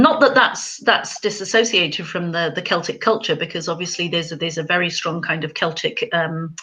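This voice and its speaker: A woman's voice: fairly low pitch, bright, forceful tone, muffled recording. A woman from Wales, a crime writer on a panel, talking hesitantly with many ums and restarts. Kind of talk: casual panel chat.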